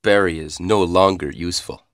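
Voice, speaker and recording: An actor playing an arrogant, cold villainous commander in a video game, with theatrical, condescending menace and stilted delivery. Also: male voice, sits low, steady tone, normal speed, fairly bright tone, no room sound, clean studio sound. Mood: arrogant, menacing.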